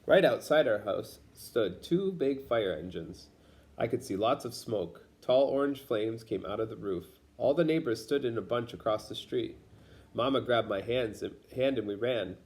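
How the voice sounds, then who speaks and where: A man reads a picture book aloud for children in a medium-pitched voice. He narrates the story in simple phrases, as a read-aloud storyteller does for young listeners. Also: lively intonation, moderate pace, a little mellow. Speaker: storybook reader.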